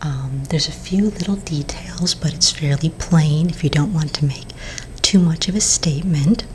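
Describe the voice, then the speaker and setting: A woman plays a friendly vampire saleswoman in an ASMR roleplay, speaking in a very low, breathy near-whisper. She is gentle, patient and reassuring, taking her time with each phrase. A low rumbling noise sits behind the close-up voice. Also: moderate pace, close to an everyday voice, low-quality recording. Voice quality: soft, breathy, mostly whispered.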